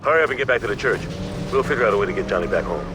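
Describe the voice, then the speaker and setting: An actor playing a steady gang leader in a video game, giving blunt, purposeful orders in street slang. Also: male voice, fairly low pitch, rapid-fire, very noisy, natural voice. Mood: urgent. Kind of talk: video game cutscene acting.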